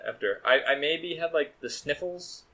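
A man's voice: fairly high pitch, normal speed, bright, forceful tone. A young man co-hosting a podcast, chatting casually with enthusiasm and lots of stammered restarts. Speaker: podcast co-host. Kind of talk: casual chat.

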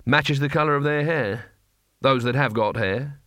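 A man's voice: medium pitch, measured pace, a bit bright, no room sound, studio quality, pitched above the performer's own voice, close to an everyday voice. A man voicing a nervous, doubtful wizard in an audiobook story, hesitant and wryly sarcastic as he questions things. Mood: incredulous, wry.

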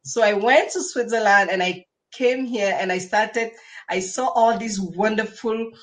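A woman speaks as a guest on an interview show. She tells her own story in a conversational way, with enthusiasm, often doubling words for emphasis. Her pitch sits in a middle range for a woman. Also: unhurried. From Cameroon. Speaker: podcast guest, entrepreneur.

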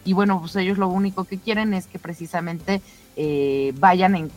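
A woman presenting the news in an informal, conversational way, somewhat halting and given to rhetorical questions. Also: lowish voice, fairly even intonation, a little mellow, faint music behind.

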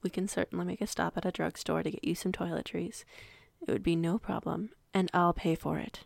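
Pack alpha played by a woman: calm, generous female werewolf, gravelly and close-miked dry, measured formal phrasing for audio drama. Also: lowish voice, no room sound. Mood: reassuring. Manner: measured, composed, slightly formal. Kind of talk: audio roleplay acting, measured dialogue.

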